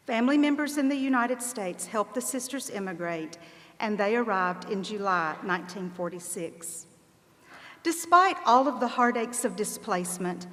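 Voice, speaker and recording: Female event narrator, mid-pitched, measured and formal scripted read, long hall reverb. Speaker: narrator and presenter at a commemorative event.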